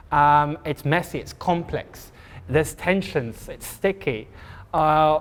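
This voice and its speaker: A man from Pretoria with an Afrikaans accent, moderating a public panel talk in a halting way, with many ums and restarts. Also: on the high side, big-room sound. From Pretoria, South Africa.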